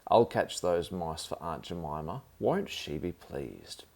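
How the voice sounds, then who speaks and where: A man's voice: low-pitched, very animated, a little slow, vocal fry, a little mellow, full character voice. A man voicing a lazy, scatterbrained fellow in a children's story, easygoing and pleased with himself.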